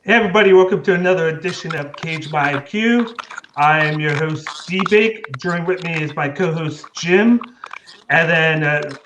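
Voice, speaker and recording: A man's voice: on the high side, moderate pace, a bit bright, a little hiss or hum, poor audio. A man hosting an interview show, asking questions in a hesitant way, full of fillers and restarts. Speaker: podcast host.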